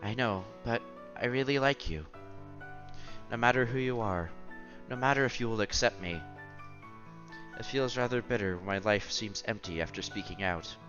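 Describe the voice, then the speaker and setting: A man's voice: fairly low pitch, normal speed, fairly bright tone, lo-fi sound. A man streaming a visual novel, reading the text aloud with casual commentary and stumbling over words now and then. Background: game music.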